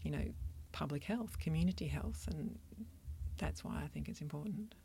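A woman who practises Chinese medicine, answering interview questions in an earnest, thoughtful, soft-spoken way. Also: deep voice, normal speed, slight background noise. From Australia, country Victoria.

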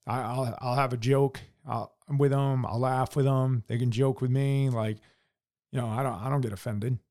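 A blunt American man holding forth in casual podcast talk, cursing freely and tripping over his own restarts. His voice sits at an ordinary middle pitch. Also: steady tone, moderate pace, a little mellow. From United States. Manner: blunt, heavy swearing, many restarts. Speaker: podcast co-host. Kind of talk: casual opinion chat.

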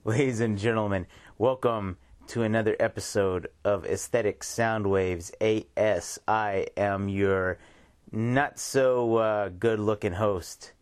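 A man's voice: on the low side, fairly even intonation, slow. An American man hosting a radio show, talking informally in a rambling, repetitive monologue. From United States. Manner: rambling, informal, repeats himself. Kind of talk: casual radio monologue with shout-outs.

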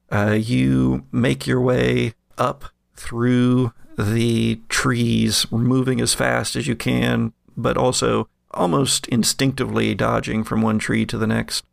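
Male game master for a horror tabletop podcast: deeply creaky voice, deliberate scene-setter, dry recording. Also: no room sound. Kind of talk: narrating a tabletop horror game, with casual chat.

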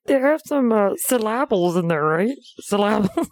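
A woman's voice: mid-range voice, lively intonation, moderate pace, dry recording. A woman co-hosting a podcast, chatting casually with friends, teasing and laughing often. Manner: giggly, teasing. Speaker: podcast co-host. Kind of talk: casual chat among friends.

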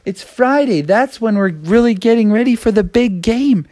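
A man voicing an excitable, eager young boy frog with a whiny, exclaiming way of talking in a storybook tale. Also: very high voice, moderate pace, no room sound, studio quality, pitched above the performer's own voice. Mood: excited, fretting.

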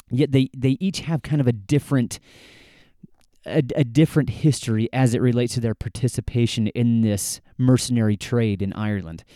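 A man hosting a history podcast, talking at a measured pace and explaining things step by step, with frequent restarts. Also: medium pitch, mellow, dry recording, clean studio sound. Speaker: podcast host. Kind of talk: solo history podcast talk.

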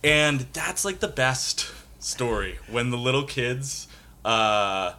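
A man's voice: medium pitch, expressive, slow, sharp tone. A man in his twenties in a casual group chat, talking in an enthusiastic, rambling way with many fillers. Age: 20s.

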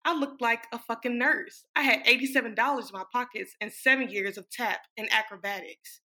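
A woman's voice: on the high side, brisk, pushed and bright. A woman playing a brash, wisecracking young dancer from a small town in a sassy, sardonic stage monologue. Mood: wry, boastful. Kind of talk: dramatic stage monologue reading.

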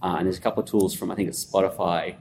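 A man with an Australian accent, a conference guest casually explaining things with lots of fillers and restarts. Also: racing. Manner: relaxed, rambling explainer with many fillers. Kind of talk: casual conversational interview. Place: conference venue. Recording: echoey room with faint rumble.

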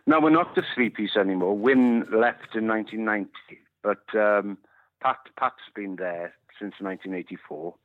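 Low-voiced Welsh man on a tinny phone line. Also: dry recording. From West Wales. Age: 50s. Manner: unhurried, many you knows and uhs. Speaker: musician guest. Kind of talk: interview over a phone line.

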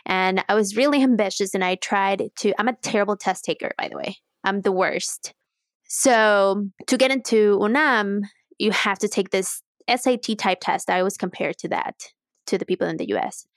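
A woman's voice: mid-range voice, moderate pace, no echo, clean studio sound. A woman from Mexico City telling personal stories as a podcast guest in an informal, candid way. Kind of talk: casual personal storytelling.

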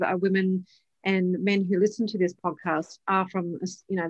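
A woman's voice: medium pitch, no room sound, highs cut off. A woman hosting a podcast, asking encouraging questions and often ending with tag questions. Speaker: podcast host. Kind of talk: scripted intro then casual interview.

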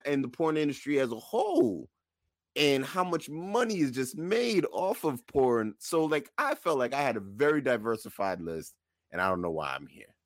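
A man's voice: mid-range voice, big pitch swings, moderate pace, no room sound, clean studio sound. A man co-hosting a podcast in casual banter, with an emphatic, mock-incredulous delivery. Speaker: podcast co-host.

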